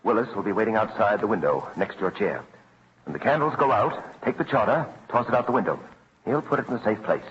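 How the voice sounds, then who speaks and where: An actor playing a stubborn, courteous colonial militia captain in an old radio drama, measured and firm. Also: male voice, lowish voice, lively intonation, a bit bright. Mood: conspiratorial, commanding. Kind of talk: 1940s radio acting. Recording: muffled, noisy old radio recording.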